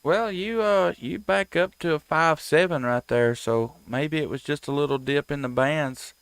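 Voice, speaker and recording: A man's voice: a little high, normal speed, a bit bright, clean tone, dry recording. A man, hosting an amateur radio live stream with casual, folksy, friendly chat and station calls. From United States.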